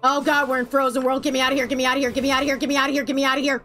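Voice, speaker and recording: Sarcastic high-voiced woman gaming streamer. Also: flat delivery, very fast, fairly bright tone, faint music behind. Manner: sarcastic, swears at enemies, talks to the game. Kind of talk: ranting let's-play commentary.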